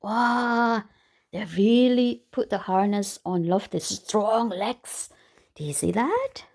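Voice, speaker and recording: A woman reading a children's story aloud in an expressive way, exclaiming and putting questions to her listeners. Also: medium pitch, slow pace. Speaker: storytime reader for a children's book club. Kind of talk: animated children's read-aloud with commentary to listeners.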